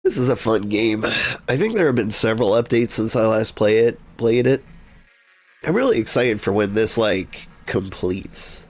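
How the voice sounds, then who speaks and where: A hoarse, gravelly-voiced man chatting casually as he streams a game, rambling and thinking aloud. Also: on the low side, animated, normal speed. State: hoarse from being unwell. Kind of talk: casual gameplay commentary.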